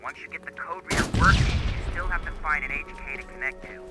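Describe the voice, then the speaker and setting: A man's voice: a little high, fast, loud music behind the voice, lightly acted. A man voicing a nervy resistance operator who guides a soldier remotely in a video game. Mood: wry, helpful. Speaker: mission guide over radio. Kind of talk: acted video game dialogue.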